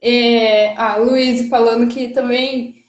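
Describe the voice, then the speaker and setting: A woman's voice: fairly high pitch, level tone, slow pace. A woman in her thirties who grew up in Brazil and speaks with a Paraná accent, chatting casually and a little nervously on a livestream, with lots of fillers and laughs. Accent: Paraná Brazilian Portuguese. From Rio de Janeiro, Brazil. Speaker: guest. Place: her parents' house, indoors. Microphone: phone on a charger. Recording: muffled, roomy livestream audio.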